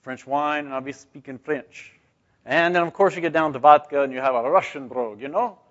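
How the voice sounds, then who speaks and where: Male Bible teacher, slightly high voice, informal and questioning delivery, slightly muffled lo-fi sound. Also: a little fast. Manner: conversational, rhetorical questions, asks "right?". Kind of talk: informal scripture teaching to a group. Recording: dull, muffled lo-fi recording.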